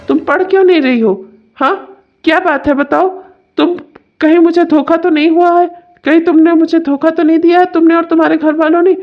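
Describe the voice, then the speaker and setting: A woman's voice: high voice, close to an everyday voice. A woman voicing a domineering, complaining young husband in a melodramatic family story. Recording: dull, muffled lo-fi sound.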